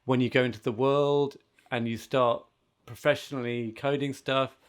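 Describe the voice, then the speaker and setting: A man's voice: mid-range voice, fairly even intonation, normal speed, smooth. A man chatting casually as a podcast host on a remote call. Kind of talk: casual chat. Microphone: remote video call setup with headphones.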